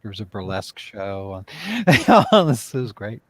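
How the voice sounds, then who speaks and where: A man chatting as an interview guest, speaking effusively in a halting, reflective way. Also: on the low side, big pitch swings. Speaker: writer and director, interview guest. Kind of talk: casual interview chat.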